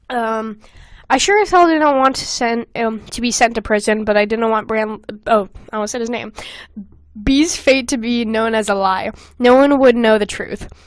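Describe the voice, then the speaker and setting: Young woman, high pitch, dry sound, podcast host, giggly, swearing, comedic read-aloud with animated asides. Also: normal speed, no room sound. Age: young adult. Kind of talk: casual comedic read-aloud of old fan fiction with commentary.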